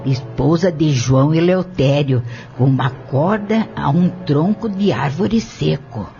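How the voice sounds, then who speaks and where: A man playing an elderly, firm woman shopkeeper who testifies in a measured, formal way in an audio drama. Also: on the high side, vocal fry. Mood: grave. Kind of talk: audio novel acting. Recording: dull lo-fi audio with music bed. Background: music.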